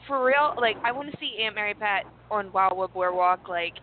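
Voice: medium pitch, moderate pace, slight background noise. A podcast host chatting casually and gushing with enthusiasm over a guest. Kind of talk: casual interview chat. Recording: tinny phone-like line.

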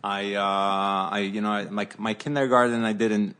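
A man with a low-set voice chats as a barbecue pitmaster on a podcast. He was raised in southern Africa and Israel, and he tells his stories with animated energy, often breaking into laughter. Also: moderate pace, clean tone. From born in southern Africa, raised in Israel. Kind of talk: casual podcast chat.

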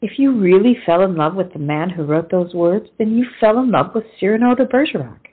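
Actress as outspoken best friend in a colonial comedy play, scolding, lively swoops, muffled recording. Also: female voice, mid-range voice, brisk, slightly rough. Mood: firm, persuading. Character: blunt, loyal young woman friend in Revolutionary War comedy. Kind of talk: stage comedy acting.

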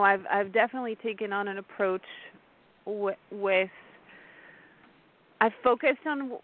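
A woman from France, speaking as a radio interview guest in an earnest, reflective way. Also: medium pitch. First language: French. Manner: earnest, reflective, with frequent fillers and soft laughs. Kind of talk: interview conversation. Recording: tinny phone line.

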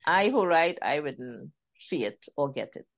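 A woman from Trinidad and Tobago, an author chatting in an interview, animated and acting out the voices she quotes. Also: low-pitched, a little slow, muffled recording. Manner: animated, laughing storyteller who acts out quotes. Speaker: author guest. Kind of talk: casual interview chat.

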